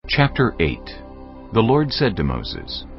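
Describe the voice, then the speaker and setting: A man narrating a dramatized scripture reading in a measured, solemn, scripted manner. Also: lowish voice, wildly swooping pitch, vocal fry, highs cut off, faint music behind.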